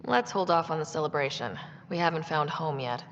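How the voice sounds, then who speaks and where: A level-headed young female explorer, speaks in a lowish voice with noticeable fry. She is composed and plain-spoken, in reverberant sci-fi game sound. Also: lively intonation, a little fast, fairly bright tone. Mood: guarded, modest. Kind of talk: video game voice acting. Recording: dull game audio, long reverb, faint noise.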